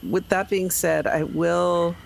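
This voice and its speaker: A man's voice: high voice, little pitch movement, takes it slowly, creaky, slight background noise. A man playing a tabletop game, talking casually about his character.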